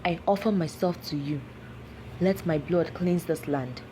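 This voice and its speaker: A woman's voice: lowish voice, unhurried, dark tone, a little hiss or hum, voice pushed down, close to an everyday voice, poor audio. A woman voicing an anguished, vengeful cursed village man in a folktale, grave, dramatic and pleading. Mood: resigned.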